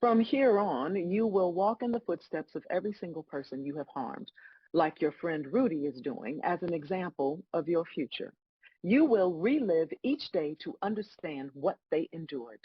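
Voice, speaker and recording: A woman playing God as a stern female celestial judge in a comedic table read, husky-voiced, dry, scolding and sarcastic. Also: lowish voice, lively intonation, normal speed, muffled recording. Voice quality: husky, raspy.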